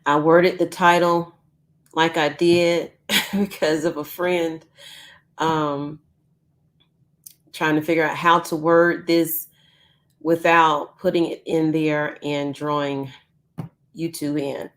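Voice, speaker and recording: A woman's voice: low voice, flat delivery, takes it slowly, pauses often. A woman hosting a casual live news stream from home, chatty with frequent ums, who is losing her voice. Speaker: YouTube news channel host.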